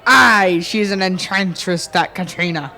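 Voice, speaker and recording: High-voiced young boy playing a village storyteller. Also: measured pace, sharp tone, a faint crowd, exaggerated voice. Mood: gossiping. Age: young teenager. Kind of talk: scripted radio play narration.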